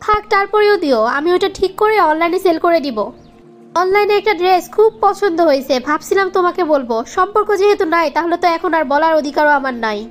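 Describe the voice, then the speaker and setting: A woman's voice: extremely high pitch, fast. A woman with a Bangladeshi accent voicing a reproachful, sulky young girlfriend in a cartoon comedy. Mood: petty, insistent. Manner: reproachful, nagging.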